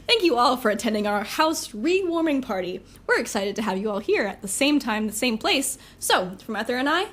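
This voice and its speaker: A woman's voice: on the high side, fairly bright tone. A woman acting a brash, encouraging superpowered party host in an audio drama, breezy and teasing.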